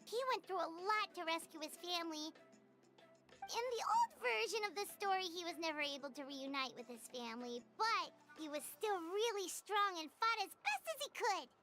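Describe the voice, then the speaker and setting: A woman voicing a small, eager fairy companion in a video game, with a high, bouncy voice. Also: very animated, moderate pace, sharp tone, exaggerated voice. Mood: fond. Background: faint game music.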